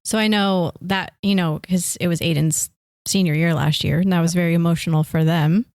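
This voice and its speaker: Gentle woman interviewer, slightly low voice, dry sound. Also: fairly even intonation, normal speed, dry recording. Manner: gentle, asks questions. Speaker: podcast co-host. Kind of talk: casual podcast interview.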